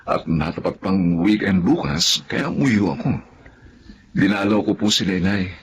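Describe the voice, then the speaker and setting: A man's voice: low voice, measured pace, a little hiss or hum. A man acting in a Filipino radio drama as a well-meaning, respectful young friend who questions and advises. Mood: respectful, regretful.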